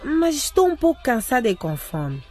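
A woman's voice: high-pitched, big pitch swings, moderate pace, darkish tone, breathy edge, faint music behind, exaggerated voice. A woman playing a sweet, obliging young schoolgirl in a radio drama. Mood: sweet, tired.

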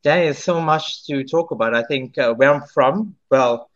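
A man's voice: medium pitch, moderate pace, dull audio. A South African singer in his thirties, answering interview questions in a rambling way with many restarts. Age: 30s. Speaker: singer-songwriter guest.

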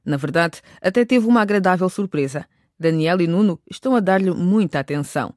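High-pitched male radio drama narrator. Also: expressive, a little fast, darkish tone, no room sound, studio quality. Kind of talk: scripted narration.

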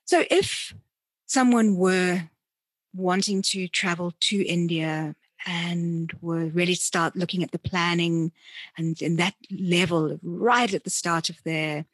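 Husky, lower-voiced woman interviewing. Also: steady tone, slow pace, dry recording. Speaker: interviewer and podcast host. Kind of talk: conversational interview.

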